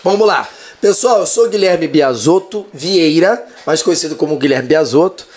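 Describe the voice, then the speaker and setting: A man's voice: fairly high pitch, normal speed. A man, a lawyer chatting as a podcast guest and telling jokey stories. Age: 30s. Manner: animated, jokey storyteller. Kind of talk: casual chat.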